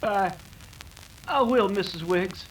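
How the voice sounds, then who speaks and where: A man's voice: high voice, takes it slowly, fairly bright tone, slight background noise, rough recording. A man playing an earnest, defiant young American cowboy in an old-time radio western.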